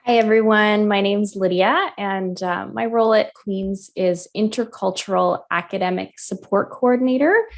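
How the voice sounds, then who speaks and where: Mid-pitched woman stating her role. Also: normal speed, few pauses. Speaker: intercultural academic support coordinator. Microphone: video call.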